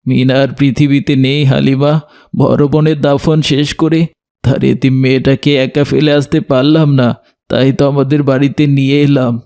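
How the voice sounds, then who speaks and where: A man voicing a kind, concerned family father in a moral cartoon, sober and gentle. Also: medium pitch, flat delivery, moderate pace, clean tone, lightly acted. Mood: sorrowful. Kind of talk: Bengali moral cartoon voice acting.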